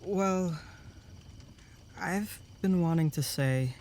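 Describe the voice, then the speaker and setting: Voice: medium pitch, very slow, a little mellow, slight background noise. An actor playing an earnest, protective young male camp counselor with a hesitant, reassuring way of talking in an audio drama. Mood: shy.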